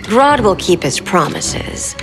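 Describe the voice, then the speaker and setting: An actress playing a seductive, menacing eco-villain in a video game, cold, poised and disdainful. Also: female voice, medium pitch, very animated, slow, loud music behind the voice. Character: cool, menacing, seductive female plant-controlling eco-villain.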